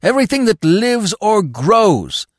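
A man's voice: sits high, huge pitch swings, very slow, darkish tone, slightly rough, no room sound, studio quality, voice pushed up, full character voice. A man voicing a fanatically devoted male dog in a storybook, yelping and howling in a frenzy. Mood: exultant devotion.

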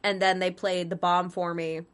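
A woman's voice: on the low side, little pitch movement, normal speed, smooth. A young woman voice actress chatting as an interview guest, giggly and self-deprecating, rambling with frequent restarts. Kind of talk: casual interview chat.

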